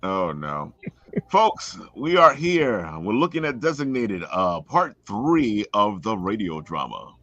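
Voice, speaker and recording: A man's voice: on the low side, very animated, slow, fairly bright tone. A man hosting a casual online chat show over a video call. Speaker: show host. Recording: dry, dull lo-fi call audio.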